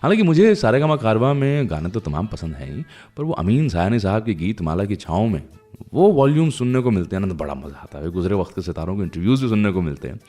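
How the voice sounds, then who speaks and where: A man presenting a radio show in a conversational, nostalgic storytelling way, pausing now and then as he goes. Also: fairly low pitch, big pitch swings, mellow.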